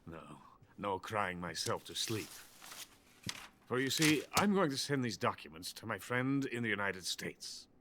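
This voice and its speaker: An actor playing a drunk, boastful older Soviet weapons scientist in a video game cutscene. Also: male voice, on the low side, very animated, measured pace, noisy background. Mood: bitter, scheming. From Soviet Union. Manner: pompous, self-important, bitter.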